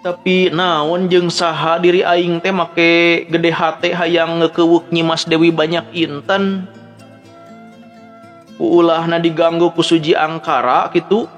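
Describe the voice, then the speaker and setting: A man's voice: on the high side, little pitch movement, takes it slowly, a bit bright, muffled recording, pitched above the performer's own voice. A man voicing a jealous, lovesick male warrior in a folk tale, questioning himself in an anguished inner monologue. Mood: troubled, questioning. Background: background music.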